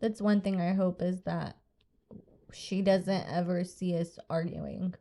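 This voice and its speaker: A woman's voice: on the low side, little pitch movement, takes it slowly, dark tone. Young woman, late twenties, podcast co-host, blunt and candid, swears freely, drops Spanish phrases. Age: 20s. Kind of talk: casual chat.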